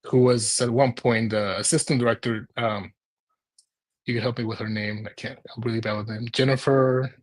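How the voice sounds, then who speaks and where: A man's voice: medium pitch, steady tone, moderate pace. Man telling his story in a rambling, informal way. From Mexico, moved to Houston as a child. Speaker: urban planner, interview guest. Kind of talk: conversational personal storytelling in an interview.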